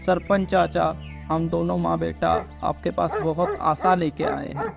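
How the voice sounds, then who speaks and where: Casting: dutiful pleading teenage son man acting, cartoon, slightly high gravelly voice. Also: steady tone, a little slow, highs cut off, music in the background. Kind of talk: cartoon moral story dialogue.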